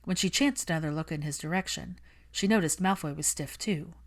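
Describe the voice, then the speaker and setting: Female narrator for fiction audiobook, low register, scripted storytelling. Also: fairly quick.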